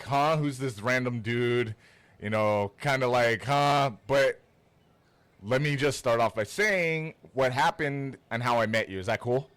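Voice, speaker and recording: A man's voice: medium pitch, a bit bright, clear, resonant tone, no echo. A man from Toronto hosting a podcast in a casual, conversational interview style. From Toronto, Canada.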